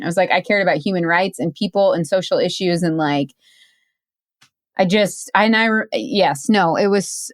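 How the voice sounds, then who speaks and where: A woman's voice: on the low side, no room sound, studio quality. A woman in her thirties chatting casually as an interview guest, with an emphatic way of talking. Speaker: podcast guest, entrepreneur. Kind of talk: casual chat, storytelling.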